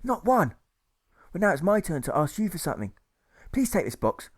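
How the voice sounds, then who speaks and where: A man's voice: big pitch swings, normal speed. A man voicing an anguished, aggrieved soldier who pleads emphatically in an audiobook. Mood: pleading. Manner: high, imploring, emphatic.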